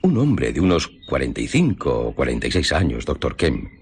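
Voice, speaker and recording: Grave spanish detective in a radio play, super deep raspy voice, lively intonation, formal. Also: male voice, a little fast, heavily acted. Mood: grave, measured. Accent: Spain. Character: grave male police investigator recounting a murder. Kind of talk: Spanish radio drama acting.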